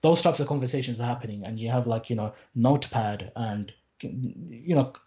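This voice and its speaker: A man's voice: mid-range voice, normal speed, warm tone. A man in his late twenties, a podcast guest who teaches Arabic, talking casually and earnestly, with lots of restarts and fillers and some wry asides. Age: late 20s. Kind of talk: casual conversational interview.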